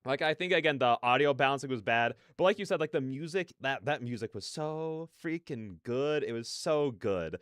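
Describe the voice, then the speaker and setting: Clear-voiced man rambling through casual reactions. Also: medium pitch, expressive, moderate pace, hardly any pauses, a bit bright, no echo, studio quality. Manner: rambling, repetitive, stammering emphasis. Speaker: reaction video host. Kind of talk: casual excited reaction commentary.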